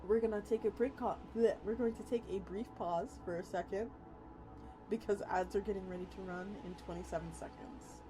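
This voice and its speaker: A woman's voice: medium pitch, fairly even intonation, a little fast, over music, poor audio. A woman hosting a live stream, chatting casually with her viewers. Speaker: VTuber streamer, host. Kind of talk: live stream reading a story aloud, casual chat with viewers.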